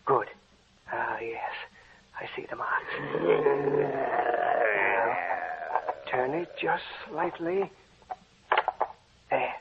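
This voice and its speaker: Commanding mad surgeon, noisy recording. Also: male voice, medium pitch, animated, extremely slow, creaky, pauses often, heavily acted. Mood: intent, urgent. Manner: terse, urgent orders. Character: commanding, methodical male mad surgeon-scientist. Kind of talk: 1940s radio drama acting. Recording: dull, very noisy vintage radio recording.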